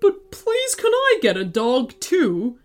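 A woman's voice: high-pitched, huge pitch swings, takes it slowly, exaggerated voice. A woman voicing a wary, bossy red panda guard in a children's storybook, snappy and drawing out each command. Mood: timid, hopeful.

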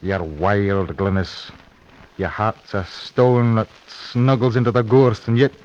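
An actor playing a proud, stern young Scottish duelist and wronged lover in a radio melodrama, clipped and solemn. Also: male voice, on the low side, steady tone, slow pace, darkish tone. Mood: grave. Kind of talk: old-time radio drama acting. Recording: muffled old radio recording.

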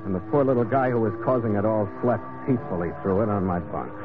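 A tough, wry skipper with a low voice, played by a man, clipped and hard-boiled. It is old-time radio adventure, heard through a thin, tinny recording with music behind. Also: quick pace, lightly acted. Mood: wry narrating. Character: tough, wry male sea captain narrator.

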